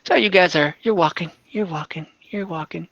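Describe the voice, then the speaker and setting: Guy dming an online game, bright voice, halting and casual, bit muffled audio. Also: high-pitched, gravelly, no echo. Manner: halting, searching for words. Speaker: game master.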